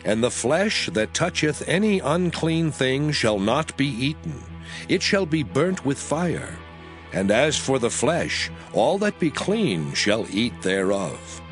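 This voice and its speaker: God, solemnly declaiming with sweeping pitch. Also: male voice, sing-song, slow. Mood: solemn, commanding. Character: God solemnly proclaiming sacred laws to Moses. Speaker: scripture voice actor. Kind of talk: dramatized scripture reading. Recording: music under the voice. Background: background music.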